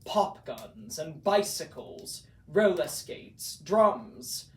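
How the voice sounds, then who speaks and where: A man reading a storybook aloud as a theatrical narrator, deliberate and dramatic, in the manner of classic horror actors. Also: a little high, sing-song. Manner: deliberate, dramatic, builds repetitions for emphasis. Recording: roomy, distant mic, faint noise.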